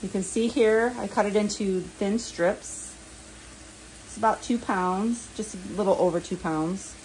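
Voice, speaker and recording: A woman's voice: medium pitch, a little slow, a little mellow, a little hiss or hum, rough recording. An older woman and home cooking vlogger, chatting casually and explaining each step plainly with small fillers in her kitchen.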